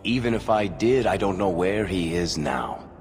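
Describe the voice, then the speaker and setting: A man's voice: deep voice, expressive, moderate pace. An actor playing a wry male dwarf who tells a story under questioning, dry and sardonic. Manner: dry, sardonic, laughs things off. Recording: game music behind.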